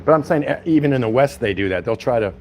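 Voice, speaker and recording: A man's voice: medium pitch, expressive, quick pace, darkish tone. Guy livestreaming his walk, loose slangy rambling chat, kinda folksy, some traffic noise behind him. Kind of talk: casual chat to viewers. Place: on a walk around a city, stopping at a convenience store. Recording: street and vehicle noise behind. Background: traffic and ambient noise.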